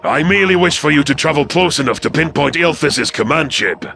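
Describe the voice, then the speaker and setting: Man acting as scrawny alien strategist, gravelly, bright forceful edge, formal and measured, sci-fi audio drama. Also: fairly high pitch, animated, exaggerated voice. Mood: measured, persuasive.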